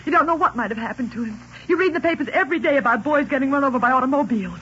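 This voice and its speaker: A woman's voice: high-pitched, expressive, rapid-fire, fairly bright tone. An actress playing a fretful, scolding middle-aged mother who is easily alarmed, in old-time radio style. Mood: worried. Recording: muffled old radio recording, faint hiss.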